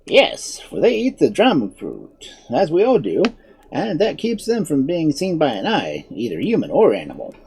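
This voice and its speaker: A storybook narrator voicing a stern, gruff father who is hospitable underneath. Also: mid-range voice, huge pitch swings, normal speed, darkish tone, pitched above the performer's own voice, full character voice. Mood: grave. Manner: blunt, gruff.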